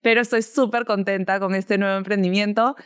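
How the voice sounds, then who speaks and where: A woman's voice: mid-range voice, steady tone, fairly quick, clear, resonant tone. A woman, co-hosting a podcast and explaining things in an upbeat way. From Peru. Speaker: podcast co-host. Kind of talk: casual podcast chat.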